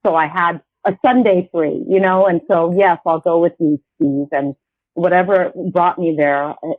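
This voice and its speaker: A woman's voice: on the low side, fairly even intonation, normal speed, dry recording. Hesitant woman in her fifties on a tinny line. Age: 50s. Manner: rambling, hesitant, many restarts. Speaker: guest. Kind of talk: casual interview.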